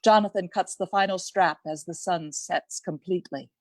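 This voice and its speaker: A woman's voice: lowish voice, moderate pace, no echo, lightly acted. A woman giving dramatic scripted narration for a radio play.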